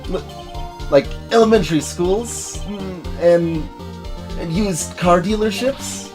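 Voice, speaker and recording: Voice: medium pitch, takes it slowly, a little mellow, close to an everyday voice. A voice actor playing a flustered, evasive young man in video game dialogue, stammering and defensive. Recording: game music behind the voice.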